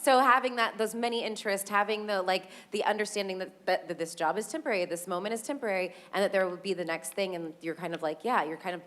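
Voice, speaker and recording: A woman's voice: mid-range voice, fast, hardly any pauses, fairly bright tone. A woman in the cast speaking on a panel, earnest and reflective, with some hesitations. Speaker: Broadway cast member. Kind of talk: panel talkback.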